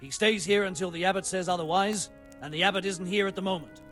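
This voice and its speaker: An actor playing a stubborn, officious medieval monastery steward in a video game, firm and curt. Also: male voice, high voice, fast talker, a bit bright, soft background music. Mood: firm refusal. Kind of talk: video game cutscene acting.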